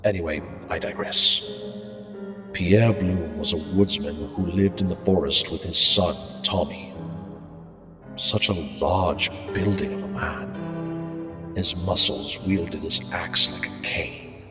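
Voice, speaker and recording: A man playing an unhinged murderer narrating his haunted tale in a brooding, halting, theatrical horror monologue. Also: low voice. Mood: brooding, musing. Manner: brooding, halting, slow, theatrical prose delivery. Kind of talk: dark dramatic horror monologue. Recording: echoey reverberant hall sound, music behind.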